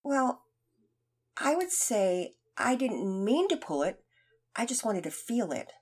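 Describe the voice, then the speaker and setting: A woman voicing an eager, defensive young boy who stammers and rushes his words in a storybook reading. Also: sing-song, a little mellow, breathy edge, heavily acted. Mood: hesitant. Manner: fast, stammering, high-pitched.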